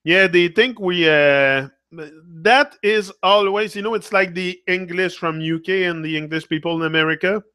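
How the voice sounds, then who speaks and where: Québécois guy on a livestream, french is his first language, kinda high voice, dry close sound, flips english and french mid sentence. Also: moderate pace, bright, forceful tone, clean tone, no echo. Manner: switches between English and French mid-thought, tags phrases with "là". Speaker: livestream host. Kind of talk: casual live-stream chat reading comments.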